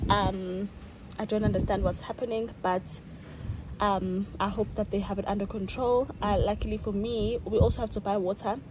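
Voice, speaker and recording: A woman's voice: mid-range voice, fairly even intonation, moderate pace, noisy background. A young woman, chatting casually to a phone camera as a vlogger while running errands, laughing often. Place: car and shops, out and about.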